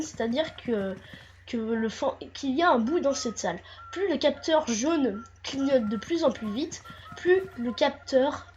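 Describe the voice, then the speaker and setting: A woman's voice: high voice, highs cut off, slight background noise. A woman with a stuffy, nasal voice from a cold, commenting a game walkthrough hesitantly, with many fillers and restarts. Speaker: gameplay commentator.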